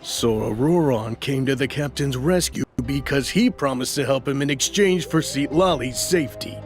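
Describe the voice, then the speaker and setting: A man voicing a courteous guard officer in a video game, speaking in a formal, measured and polite way. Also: mid-range voice, faint music behind, close to an everyday voice.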